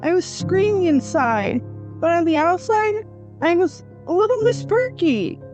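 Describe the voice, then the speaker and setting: A woman's voice: very high voice, lively intonation, measured pace, a little mellow. A woman playing a hurt, angry young heroine in an audio drama, emotional and accusing. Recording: slightly dull, music underneath.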